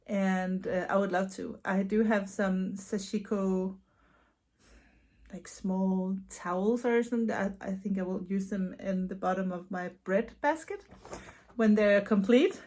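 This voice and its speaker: Danish lady vlogging from home, chatty, lots of ums and little laughs, mid pitch. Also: unhurried. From Denmark. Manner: chatty, repeats words, frequent soft laughs and ums. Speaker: knitting vlogger and yarn dyer. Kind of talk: casual Q&A vlog chat. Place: her home.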